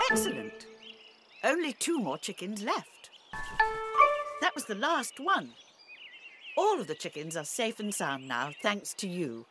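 A woman voicing a kindly elderly grandmother pig in a children's game, talking to children in an expressive sing-song. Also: mid-range voice, slow pace, pauses often, loud music behind the voice. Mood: delighted. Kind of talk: children's game character dialogue.

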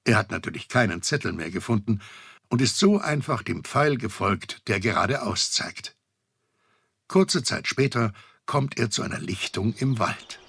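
A man's voice: on the low side, expressive, unhurried, gravelly, a bit bright, breathy edge, dry recording, exaggerated voice. A middle-aged man narrating a children's audio adventure as a genial storyteller. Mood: genial, wry. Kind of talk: scripted narration.

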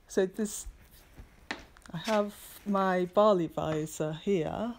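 Woman, low-pitched and unhurried, hesitant maker talking through her studio on a phone camera. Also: gravelly, a little mellow, a little hiss or hum. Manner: hesitant, many ums, practical and understated. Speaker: milliner giving a studio tour. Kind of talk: casual talk while showing hats. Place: small hat workshop indoors, bright window light. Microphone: phone camera held by speaker.

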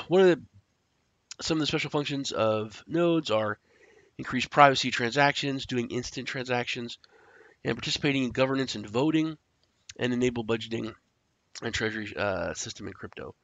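A man who is sick and stuffed up, casually walking through a screen-share tutorial, rambling with fillers and clearing his throat. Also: medium pitch, normal speed, no echo. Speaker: crypto tutorial host. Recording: dry, dull lo-fi audio.

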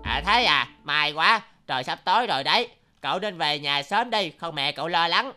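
A woman voicing a kind, helpful young yellow duck in a cartoon, in a bright childlike voice, with music under it. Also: low voice, lively intonation, moderate pace. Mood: gentle. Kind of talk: cartoon dubbing.

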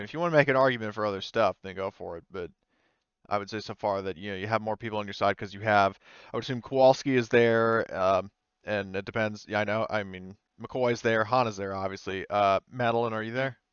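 A man casually running a tabletop sci-fi game as game master, thinking aloud in a halting, offhand way. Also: fairly low pitch, fairly bright tone, smooth, no echo. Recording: muffled voice-chat audio.

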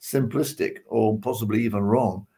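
A man's voice: lowish voice, steady tone, dark tone. Older man, British accent, hesitant, casual panel talk, video call. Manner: hesitant, halting delivery. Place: room at home.

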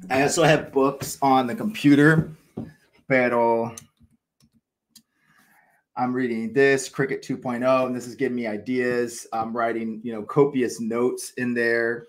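A man's voice: medium pitch, flat delivery, a little slow. An American man in his thirties hosting a casual livestream from a small room at home, rambling enthusiastically with lots of fillers and restarts. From United States. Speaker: cricket channel host.

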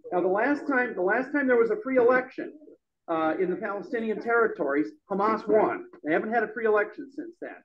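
A middle-aged man giving a loud, emphatic speech at an open-mic forum in a bar room. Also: sits high, animated, brisk, highs cut off. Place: bar room with a small audience. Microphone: stand mic.

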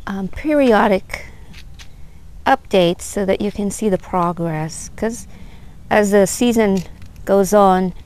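A woman gives an unhurried, admiring tour of her backyard garden, filming on a handheld phone outdoors. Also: mid-range voice, a little mellow, smooth, rough recording. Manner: unhurried, admiring, many fillers. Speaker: gardener vlogger. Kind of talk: casual garden tour narration.

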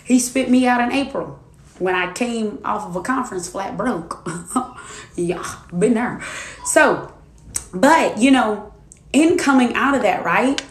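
A woman's voice: on the high side, roomy sound. A woman in her twenties, a minister and life coach, preaching her testimony to viewers in an emphatic, repetitive cadence. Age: 20s.

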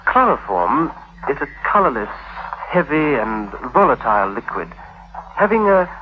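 Actor as swooping, nervous young tutor, old radio. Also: male voice, mid-range voice, big pitch swings, moderate pace, close to an everyday voice. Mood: pedantic, insinuating. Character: young male tutor and lover, nervous, pedantic. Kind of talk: 1950s radio drama acting. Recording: muffled vintage 1950s radio recording.

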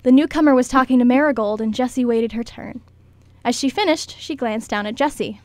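A woman's voice: a little high, brisk, dry recording. A woman reading scripted story narration as the narrator of a radio skit.

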